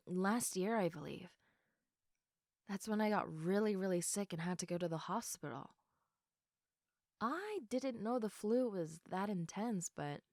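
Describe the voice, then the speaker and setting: Slow, soft, sleepy girlfriend, acted by a woman. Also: mid-range voice, dry recording, clean studio sound. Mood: soft, reminiscing. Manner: soft, unhurried, often giggly, dropping into whispers. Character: sleepy, affectionate, reassuring girlfriend cuddling her partner. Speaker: audio roleplay performer. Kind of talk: intimate girlfriend roleplay, sleep-aid ASMR.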